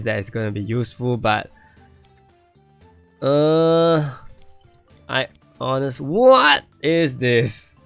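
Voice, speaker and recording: Man, game streamer, raspy gravelly tone, losing his voice, thinks aloud, repeats phrases, faint music behind. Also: medium pitch, fairly bright tone, no echo. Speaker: game streamer reviewing viewer accounts. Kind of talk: casual live-stream commentary.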